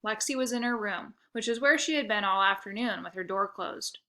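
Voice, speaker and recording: A woman narrating a children's book aloud with expressive, first-person storytelling. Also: mid-range voice, a little fast.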